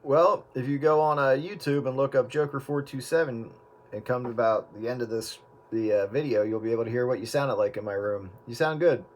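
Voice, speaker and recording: A man chatting casually over CB radio from his room at home, friendly and relaxed with many fillers. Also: mid-range voice, brisk. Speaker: host, CB radio operator. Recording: loud static hiss.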